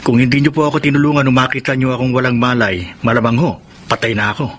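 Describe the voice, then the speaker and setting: An actor as a polite, hardworking farmhand in a radio drama. He speaks deferentially in a husky, mid-pitched voice. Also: little pitch movement, quick pace, fairly bright tone, lightly acted. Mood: grateful. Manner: respectful, deferential. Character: humble, grateful amnesiac farmhand, polite and hardworking.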